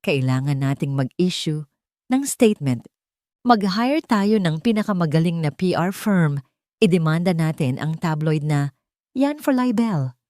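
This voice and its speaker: A woman's voice: fairly low pitch, animated, unhurried, a little mellow, dry recording, studio quality. A woman narrator voicing an angry, guilt-ridden business tycoon man in an audio drama, with a commanding, urgent manner.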